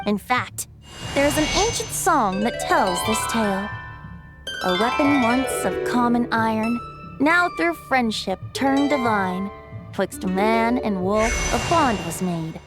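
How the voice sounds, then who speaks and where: A woman voicing a teasing youthful wind spirit. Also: on the high side. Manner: lilting, light storytelling with teasing asides. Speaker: game character. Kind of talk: video game voice acting.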